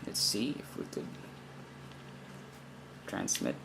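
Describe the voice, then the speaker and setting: A man giving an unscripted, hands-on technical demonstration, thinking aloud with hesitant phrasing. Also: takes it slowly. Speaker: radio programming demonstrator. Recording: noisy amateur recording, steady background noise.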